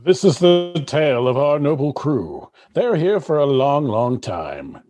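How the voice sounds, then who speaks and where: An actor playing a grand, theatrical storyteller narrating a comedy space saga for radio. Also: male voice, a little high, big pitch swings, unhurried, darkish tone, no room sound, faint music behind. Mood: grand, teasing.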